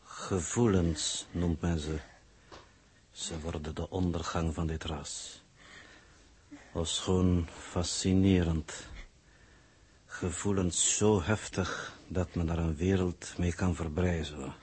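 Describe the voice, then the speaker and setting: An actor playing a cold, formal, analytical alien coordinator in a 1960s radio play, in measured, detached speech. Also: male voice, deep voice, fairly even intonation, a little mellow, a little hiss or hum. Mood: detached, musing. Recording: 1960s radio drama recording.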